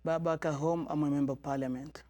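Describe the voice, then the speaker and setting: A man's voice: fairly high pitch, fairly even intonation, quick pace, a little mellow, no room sound. A man from Tanzania, a guest in a studio interview, speaking earnestly and often repeating words as he searches for them. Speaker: guest, member of parliament.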